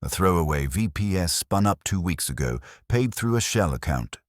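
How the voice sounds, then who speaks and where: A man's voice: sits low, big pitch swings, normal speed, dry recording, clean studio sound. A man voicing a wary, terse corporate security man who reports in a clipped, serious, factual manner. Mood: guarded, serious.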